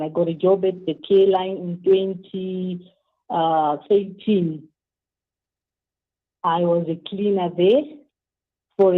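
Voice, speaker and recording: A woman in her thirties from Zimbabwe tells her own story over the phone to a radio host. She speaks at a middle pitch, hesitating and restarting sentences as she goes. The sound is thin and boxy, like a narrow phone line. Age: 30s. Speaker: guest. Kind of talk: phone-in interview, personal story. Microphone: telephone.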